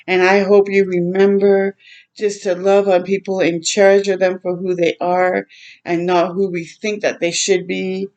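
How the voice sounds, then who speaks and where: A woman reads a picture book to children as a storytime host. Her voice sits a little low and has a very mellow, relaxed tone. She is gentle and expressive, adding tender asides to her listeners. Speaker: children's read-aloud host.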